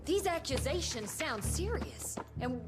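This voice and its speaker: A woman's voice: high-pitched, very animated, measured pace. A woman playing a polite, tactful government aide in a video game, tentative and deferential. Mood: concerned. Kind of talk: video game cutscene acting. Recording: loud game music over the voice.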